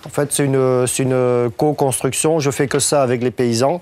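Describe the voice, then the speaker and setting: A man's voice: mid-range voice, fairly even intonation, moderate pace, a little mellow. A man in his forties with a slight southwestern French accent, giving a training talk to farmers in a meeting room, chatty and full of fillers and tag questions. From southwest France. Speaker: farm trainer. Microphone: lapel mic.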